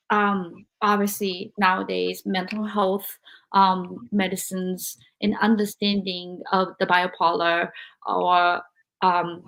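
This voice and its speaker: Slow, hesitant woman. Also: mid-range voice, poor audio. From Japan. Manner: hesitant, searching for words, many fillers. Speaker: podcast host. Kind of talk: interview podcast.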